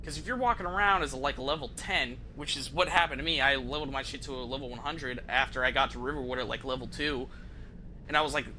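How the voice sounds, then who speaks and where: Very bright-voiced man, casual game streamer. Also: mid-range voice, fast talker, slight background noise, rough recording. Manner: casual, profane, conversational. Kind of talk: casual gameplay commentary, rambling.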